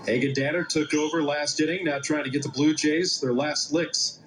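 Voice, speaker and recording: A man's voice: on the high side, steady tone, moderate pace, background noise. A man calling a baseball game as a live play-by-play announcer. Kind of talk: live sports commentary.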